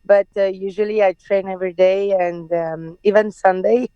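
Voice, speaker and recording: French-accented woman in her 30s, persian first language, halting and laughy, calling in from her car, pretty dry sound. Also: mid-range voice, flat delivery, clear, resonant tone, no echo, muffled recording. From France. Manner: hesitant, many restarts and laughs. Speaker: guest. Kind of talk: casual interview. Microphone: video call.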